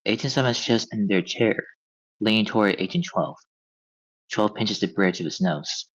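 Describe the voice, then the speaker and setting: A man's voice: on the low side, moderate pace. A man narrating stage directions from a script at a table read. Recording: dull, lo-fi audio.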